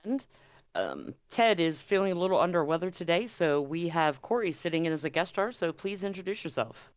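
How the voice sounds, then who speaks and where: A man's voice: a little high, sing-song, brisk, dry recording. A man acting as game master, hosting and narrating a tabletop roleplaying game stream.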